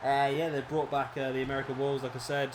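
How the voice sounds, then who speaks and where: Slightly hoarse man commentating a game. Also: mid-range voice, fast, noisy background. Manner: relaxed, rambling, with fillers and self-corrections. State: slightly hoarse, losing his voice. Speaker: gameplay commentator. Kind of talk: casual play-by-play game commentary.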